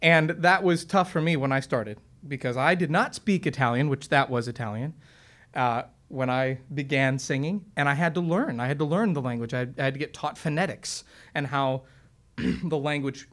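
A man's voice: mid-range voice, moderate pace, fairly bright tone. A man who sings opera talks casually and enthusiastically to an audience, explaining his craft with lots of fillers and restarts. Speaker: opera singer and presenter. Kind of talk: relaxed explanatory talk to an audience. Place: stage or studio with piano.

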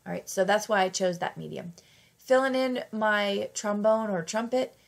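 A woman's voice: mid-range voice, moderate pace, creaky. A woman fighting off a cold, narrating a casual craft tutorial in a chatty, self-correcting way. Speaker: craft channel host.

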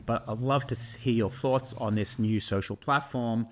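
A man's voice: mid-range voice, moderate pace. A middle-aged man hosting an explainer talk to camera in a studio, thoughtful and putting questions directly to viewers. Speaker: host and neuroscience professor. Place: studio in front of a brick wall.

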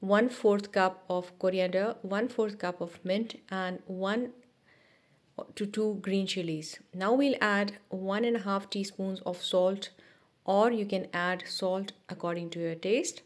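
Woman giving calm recipe instructions. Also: mid-range voice, slow. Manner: steady step-by-step instructions with small restarts. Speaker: cooking channel host. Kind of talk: recipe voice-over narration.

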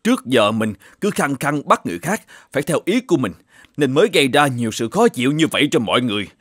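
A man voicing a grumpy, nagging older shoemaker in an animated folk tale, muttering and exclaiming as he talks. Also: mid-range voice, quick pace, no room sound, clean studio sound. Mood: subdued, reflective. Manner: exclaiming, muttering to himself, scolding. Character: grumpy, nagging older shoemaker husband, scornful and quick to scold.

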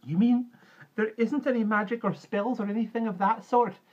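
A man's voice: sits high, fairly even intonation, moderate pace, a little mellow, voice pushed up. A man voicing a frightened, curious young girl with a plaintive, wailing manner in a storybook reading. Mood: surprised, eager. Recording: dull muffled recording with clear background noise.

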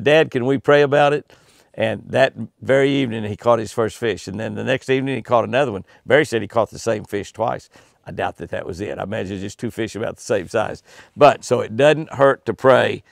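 A man's voice: medium pitch, vocal fry, dry recording, studio quality. An older man hosting a daily devotional and fishing tip from outdoors by a lake, in a folksy, earnest way and repeating his key phrases for emphasis. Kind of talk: devotional reading and folksy preaching. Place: outdoors by a lake next to a cabin. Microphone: camera close in front, face framed.

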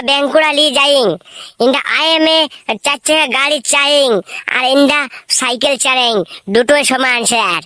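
Cocky cartoon schoolboy, rural West Bengal accent, piercingly bright, dry close sound, rambles proudly. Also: female voice, high-pitched, dry recording. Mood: confident. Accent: rural West Bengal (Bankura). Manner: cocky, rambling, garbled pidgin English. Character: boastful, eager schoolboy mangling English answers. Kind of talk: comic cartoon voice acting.

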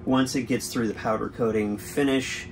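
An easygoing man in his forties talks through a product comparison from inside a boat cabin, picked up by a camera a short way off. His voice sits at an ordinary middle pitch, and he explains things loosely with plenty of fillers. A clear bed of background noise runs under him. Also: steady tone, normal speed. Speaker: boating channel host. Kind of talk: casual product review.

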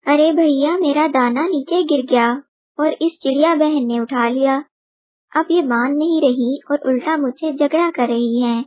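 A woman voicing a gentle, honest mother bird in a children's story, polite and pleading. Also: high voice, a little slow, pitched above the performer's own voice. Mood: complaining.

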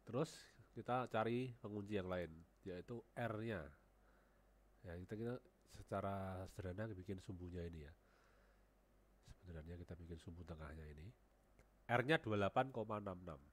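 A man's voice: sits low, normal speed, pauses often, a little hiss or hum. A man with a cold talking through a CAD modeling tutorial on a headset, thinking aloud and repeating numbers as he types them. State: has a cold. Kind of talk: screen-recorded software tutorial.

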